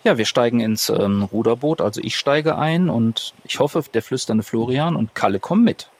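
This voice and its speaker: Guy playing a tabletop rpg, raspy-ish husky voice, casual, mid pitch. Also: lively intonation, normal speed. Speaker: player. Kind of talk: casual improvised tabletop roleplay.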